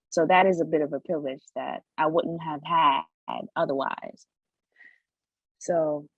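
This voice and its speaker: Husky young woman, earnest podcast talk. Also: lowish voice, no echo, dull audio. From United States. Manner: earnest, repeats words while finding her point. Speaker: podcast co-host. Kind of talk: casual discussion.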